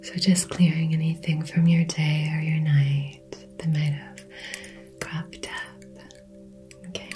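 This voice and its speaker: A woman softly whispering a soothing ASMR Reiki session, speaking gently and personally to the listener as a healer. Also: deep voice, flat delivery, takes it slowly, long pauses, noisy background. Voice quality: breathy whisper. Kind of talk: soft-spoken ASMR healing session.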